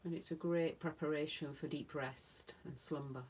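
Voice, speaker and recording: Woman, low voice, slow pace, soothing yoga nidra guide, short phrases, faint ambient noise behind. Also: steady tone, darkish tone. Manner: soothing, unhurried, speaking in short phrases with long pauses. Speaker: yoga nidra meditation teacher. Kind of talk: guided relaxation meditation.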